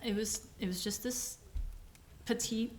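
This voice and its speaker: A woman whose first language is Vietnamese, co-hosting a podcast and telling a personal testimony in halting, emotional, casual speech full of restarts. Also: fairly high pitch, fairly even intonation, darkish tone, roomy sound, a little hiss or hum. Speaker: podcast co-host.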